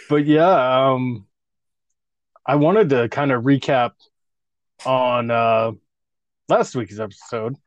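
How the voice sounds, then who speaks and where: A man in his thirties co-hosting a podcast, unhurried and drawn out in his delivery. He teases his co-host with crude jokes and laughs a lot. His voice sounds close and dry, with no room around it. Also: mid-range voice, no room sound, dull audio. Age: 30s. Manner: joking, crude teasing, laughs often. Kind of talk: casual banter podcast.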